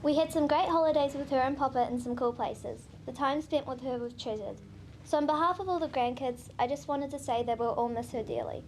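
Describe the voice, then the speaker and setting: A New Zealand woman reads her grandchild's tribute at a memorial service. Her voice is high and her delivery steady and sincere. A faint hiss sits under the sound. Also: fairly quick. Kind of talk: reading a prepared tribute.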